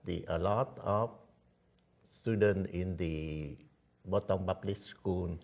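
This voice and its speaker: A man interviewing a guest, asking questions in a halting way as he searches for his words. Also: low voice, vocal fry, a little mellow. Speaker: interviewer, local TV host.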